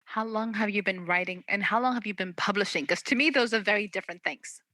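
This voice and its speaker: Bright, upbeat woman interview host. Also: medium pitch, fast, dry recording. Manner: upbeat, enthusiastic. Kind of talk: hosting an author interview.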